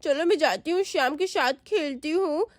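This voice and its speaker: एक महिला एक छोटी गाँव की बच्ची की आवाज़ निकालती है, ऊँची और रुआँसी, डर के बावजूद हिम्मत रखती।. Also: female voice, moderate pace, dry recording, close to an everyday voice. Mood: cheerful. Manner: high, tearful, pleading. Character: little village girl, frightened but brave. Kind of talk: storytelling voice acting.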